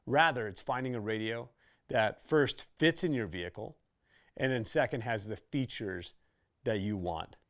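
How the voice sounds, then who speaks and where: A man's voice: lowish voice, moderate pace, a bit bright. A man hosting an informal how-to video, explaining products in a conversational, instructional way. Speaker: host of a radio gear how-to video.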